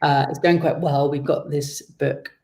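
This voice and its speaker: A man giving conversational interview answers as a guest author, explaining things in a measured way. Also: a little high, steady tone, gravelly, a little mellow.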